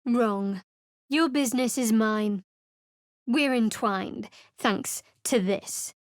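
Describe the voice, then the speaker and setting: A woman's voice: on the high side, takes it slowly, no echo. An actress playing a guarded young woman cleric in a video game, speaking in a dry, cutting, sardonic way. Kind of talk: video game character dialogue. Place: studio voice booth.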